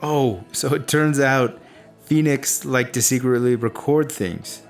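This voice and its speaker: A man's voice: medium pitch, takes it slowly, soft background music. A man acting an easygoing drinking buddy in a naturalistic radio drama, with an everyday voice. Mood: casual, relieved.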